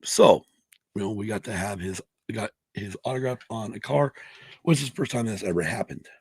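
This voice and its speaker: A man co-hosting a casual podcast, hoarse from allergies, who rambles, restarts and swears a lot. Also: fairly low pitch, fairly quick, darkish tone, no echo. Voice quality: gravelly, husky. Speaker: podcast co-host. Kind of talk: casual chat.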